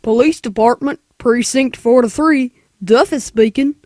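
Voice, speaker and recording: A man's voice: very high-pitched, a little slow, a little mellow, a little hiss or hum. A man acting as a bored, curt police desk officer in an old radio play. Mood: bored official.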